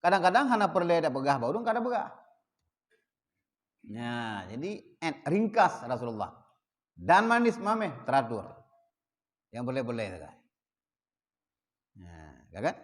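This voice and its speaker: A man's voice: medium pitch, big pitch swings. Acehnese man teaching in a reverberant hall. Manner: mixes plain explanation with slow chanted Arabic readings; asks the audience rhetorical questions. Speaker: religious teacher. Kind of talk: kitab study lesson. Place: prayer hall.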